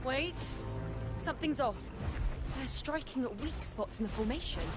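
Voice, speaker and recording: High-voiced commanding warrior woman. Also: lively intonation, moderate pace. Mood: alert, tense. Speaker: game character voice. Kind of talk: dramatic video game voice acting. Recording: loud music behind the voice.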